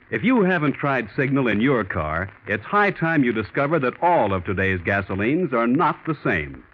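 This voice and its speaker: A man's voice: mid-range voice, slight background noise. Male American announcer, 1940s radio. Emphatic, polished sponsor reads, very varied intonation, muffled vintage recording.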